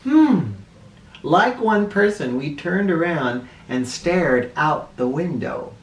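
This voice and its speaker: Slow, expressive man reading aloud, echoey room. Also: on the high side. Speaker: children's read-aloud host. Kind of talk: animated storybook narration for children. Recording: echoey room, distant mic.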